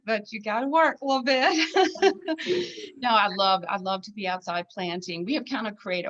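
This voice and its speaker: A woman's voice: mid-range voice, a bit bright, dull audio. A woman in her fifties with a Swedish accent, chatting casually as a podcast guest. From Sweden. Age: 50s. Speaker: podcast guest, needlepoint designer. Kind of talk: casual chat.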